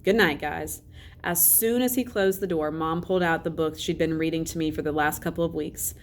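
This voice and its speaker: A woman reads a children's story aloud in a lowish voice. She narrates as a teacher would for a class. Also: steady tone, fairly quick, darkish tone, a little hiss or hum.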